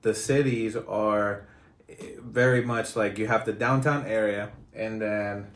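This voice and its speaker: Chatty low-voiced man, echoey room. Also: fairly even intonation, slow, a bit bright. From Spain. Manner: chatty, laughs often, many restarts. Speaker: podcast host. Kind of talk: casual conversation. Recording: echoey room with faint noise.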